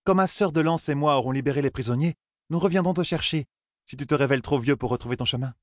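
A young woman warrior needles a comrade in a lifted, quick, amused voice, put on by a male reader. The tone is playfully mocking, not harsh. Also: on the high side, dry recording, clean studio sound, pitched above the performer's own voice, natural voice. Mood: teasing. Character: teasing young female spear warrior.

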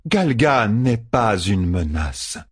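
A man voicing a hard, scornful young woman bodyguard in a fantasy audiobook, with a deliberate, dry deadpan. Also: lowish voice, slightly rough, no echo, full character voice. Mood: cold, guarded. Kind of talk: audiobook fantasy character dialogue.

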